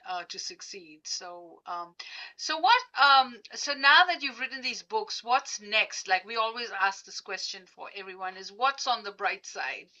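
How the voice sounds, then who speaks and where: A woman hosting a casual interview, speaking conversationally and a bit haltingly, repeating words as she goes. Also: mid-range voice, pushed and bright, highs cut off. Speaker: interview host.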